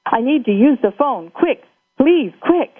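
A woman's voice: high voice, expressive, unhurried, husky, voice pushed up, full character voice. A woman reading a play, voicing an eager young boy who talks with breathless urgency. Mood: excited. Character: excitable young boy visiting grandma. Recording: tinny phone line.

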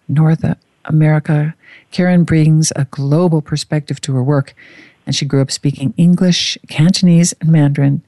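Woman, show host, gushing manner, mellow tone, close dry sound. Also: low-pitched, no room sound. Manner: effusive, gushing. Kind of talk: warm interview talk with guest introduction.

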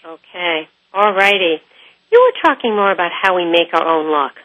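Female interview host: lowish, sharp bright tone, conversational broadcast delivery, dry recording. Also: lively intonation, normal speed, no echo, lo-fi sound.

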